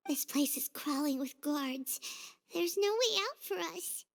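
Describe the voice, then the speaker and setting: A woman voicing a small, excitable, childlike fairy companion in a video game dub, naive and exclamatory, with a squeaky voice. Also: measured pace, gravelly, heavily acted. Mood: anxious. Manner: naive, refers to herself by name, exclamatory.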